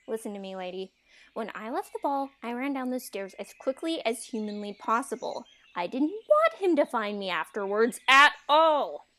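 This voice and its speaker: A woman's voice: fairly high pitch, big pitch swings, measured pace, sharp tone, heavily acted. A woman playing an exasperated, sarcastic young fairytale heroine in a comedic audio drama, with modern casual phrasing. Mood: exasperated, scolding. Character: exasperated, sarcastic young Cinderella dodging a suitor.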